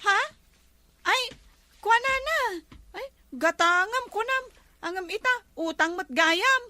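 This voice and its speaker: A woman's voice: extremely high pitch, expressive, slow, pushed and bright, no room sound, exaggerated voice. A woman playing a guarded, argumentative village store woman in an Ilocano comedy drama. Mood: flustered.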